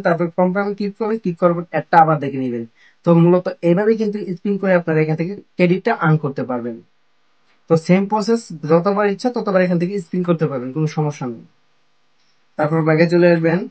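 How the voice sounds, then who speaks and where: A man's voice: fairly high pitch, darkish tone. A man narrating an app tutorial step by step, in a repetitive, instructional way. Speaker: tech tutorial host.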